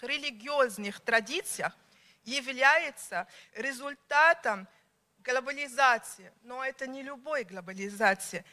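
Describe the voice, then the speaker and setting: A woman delivers a formal conference speech in a high, bright voice. She speaks slowly, stressing each word and pausing between the items of her lists. The tone stays measured and deliberate throughout. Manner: slow, emphatic, pausing between list items.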